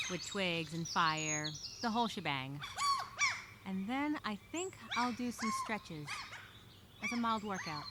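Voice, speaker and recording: A woman's voice: mid-range voice, very noisy. A woman voicing an anxious, wry castaway speaking her survival journal in a theatrical, conversational audio story. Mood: upbeat, brisk. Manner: conversational, theatrical, halting, with sighs. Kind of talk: solo dramatic monologue, audio journal storytelling.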